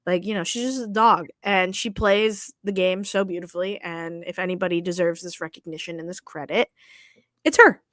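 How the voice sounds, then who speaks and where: A woman, co-hosting a casual sports podcast, slangy and quick to swear, a little wheezy. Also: fairly low pitch, steady tone, fairly bright tone, dry recording, studio quality. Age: 20s. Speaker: podcast co-host.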